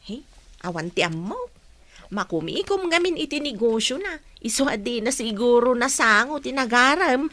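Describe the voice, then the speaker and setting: A knowing, protective confidante played by a woman in a radio play. Her voice is very high, and she sounds like a concerned elder sister. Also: animated, moderate pace, a bit bright. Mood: knowing, resolute. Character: concerned older female household confidante. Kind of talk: Ilocano radio drama acting.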